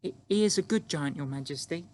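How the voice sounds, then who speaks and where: A man's voice: fairly high pitch, a little fast, darkish tone, voice pushed up, natural voice. A man voicing a polite, earnest little girl in an audiobook, respectful and a little timid. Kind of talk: audiobook character acting.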